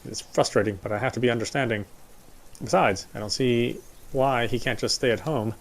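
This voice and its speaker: A man's voice: mid-range voice, brisk. A man doing a live dramatic reading of first-person story narration from a game. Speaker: let's player reading game narration aloud. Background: faint music.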